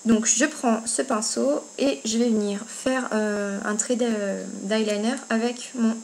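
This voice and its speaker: High-voiced woman, casual tutorial. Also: steady tone, unhurried, a little mellow. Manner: informal, thinking aloud as she works. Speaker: makeup tutorial vlogger. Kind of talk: casual step-by-step tutorial chat. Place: indoors at home. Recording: noticeable background noise, amateur home video.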